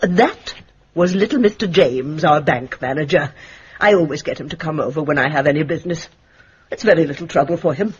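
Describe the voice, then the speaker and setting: Bossy eccentric old spinster, husky and precise, muffled radio drama recording. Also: female voice, low voice, a little fast. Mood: confiding. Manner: grand, precise, commanding.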